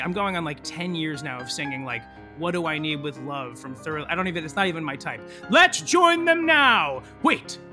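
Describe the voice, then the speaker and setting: A woman's voice: mid-range voice, bright, forceful tone. A woman playing a gossipy, scatterbrained narrator in a scripted audio comedy, folksy and rambling. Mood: muttering. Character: gossipy, scatterbrained female narrator and aspiring singer.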